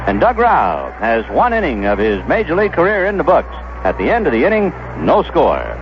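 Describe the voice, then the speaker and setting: American radio baseball announcer, animated male pitch. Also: normal speed. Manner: conversational, descriptive storytelling between pitches. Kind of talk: play-by-play commentary. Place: ballpark broadcast booth. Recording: dull, noisy vintage 1970s radio broadcast.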